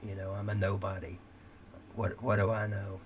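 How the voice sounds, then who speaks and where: A man's voice: lowish voice, flat delivery, moderate pace, a little hiss or hum. A middle-aged man hosting a late-night livestream, talking in a rambling, candid way with swearing, and his voice is giving out. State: losing his voice. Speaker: livestream host.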